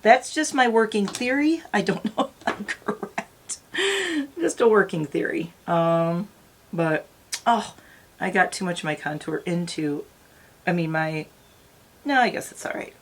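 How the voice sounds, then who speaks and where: Middle-aged female beauty vlogger, lowish voice with a touch of morning rasp, rambling casual chat. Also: lively intonation, a little slow, a little hiss or hum. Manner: rambling, chatty, talks straight to viewers. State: slightly raspy morning voice. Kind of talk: casual makeup tutorial chat.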